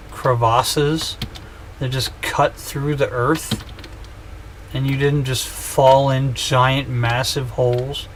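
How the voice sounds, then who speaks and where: A man's voice: mid-range voice, a bit bright, a little hiss or hum. A man in his thirties chatting casually on a gaming livestream, joking and rambling with self-mocking humor. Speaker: streamer.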